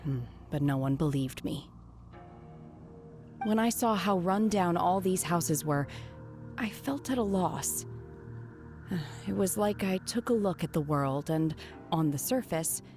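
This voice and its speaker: An actress voicing a calm, lonely young woman who speaks quietly and reflectively in a game. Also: lowish voice, a little slow, soft background music. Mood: wistful. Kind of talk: game voice acting.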